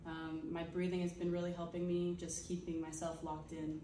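A young woman softball player answering in a calm, low-key way. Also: moderate pace, background noise. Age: young adult. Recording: roomy, distant phone recording.

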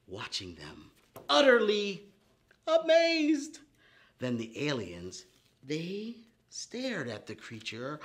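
A middle-aged man hosting a preschool storytime, teaching and reading in a theatrical, drawn-out way with gasps and dramatic pauses. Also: on the high side, pushed and bright. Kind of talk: children's storytime lesson with expressive poem reading. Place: indoor set with painted forest backdrop.

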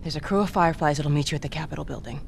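A woman's voice: low voice, very fast, gravelly, slight background noise. An actress playing a wounded middle-aged female rebel leader in a game, who speaks firmly and urgently, giving orders. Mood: commanding.

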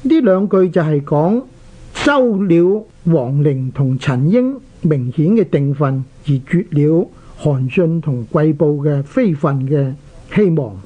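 Radio language host, Hong Kong Cantonese accent. Mid-pitched, instructive and deliberate delivery, on a dull, lo-fi broadcast recording. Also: expressive. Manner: didactic, deliberate, spelling out characters. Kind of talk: explanatory talk on Chinese characters.

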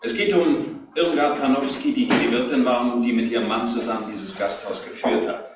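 An actor playing a stern, methodical police investigator in a retro crime drama, matter-of-fact and firm, in an echoey, slightly noisy old recording. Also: male voice, medium pitch, brisk, natural voice. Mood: stern, factual.

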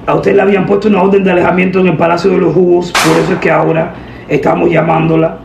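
A young woman making a deadpan prank phone call, giving instructions in an official-sounding manner. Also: fairly low pitch, quick pace, creaky, reverberant, background noise. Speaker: prank caller, host. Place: indoor room at a table. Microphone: camera a short distance away.

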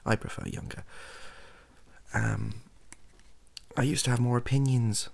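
A young man chatting softly in a rambling, self-deprecating ASMR style, hesitant and husky, close to the microphone. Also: mid-range voice, fairly even intonation, a little slow. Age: young adult. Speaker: ASMR video creator. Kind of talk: softly spoken ASMR chat. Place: small bedroom at a desk.

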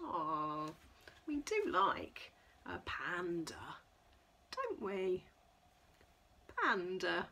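A middle-aged woman leading a children's storytime, reading a picture book aloud in an expressive, sing-song way for small children. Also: fairly low pitch, very slow, pauses often. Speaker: toddler class leader. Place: indoors in front of a backdrop.

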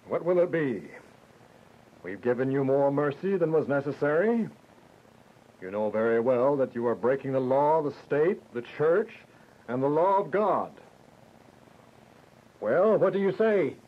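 A man's voice: medium pitch, expressive, unhurried, pauses often. A man playing a stern, pompous and merciless judge in a radio drama. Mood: stern, impatient. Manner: pompous, commanding. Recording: thin phone-like radio drama audio.

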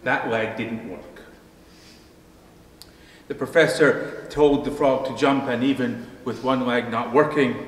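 A middle-aged man preaching a sermon from a pulpit, calm and measured, telling a story with dry humor. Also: medium pitch. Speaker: pastor. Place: church sanctuary, behind a pulpit. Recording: distant, echoey church hall.

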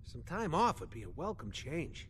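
A man's voice: fairly high pitch, wildly swooping pitch, moderate pace, a bit bright, slight background noise. An actor playing a wisecracking, grumpy adult male raccoon mechanic in a video game, talking in a brash, sarcastic way.

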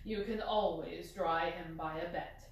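A woman voicing a calm, clever male detective in a lower, bland and measured tone, recorded from a distance in a roomy space. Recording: roomy, distant mic, faint hiss.

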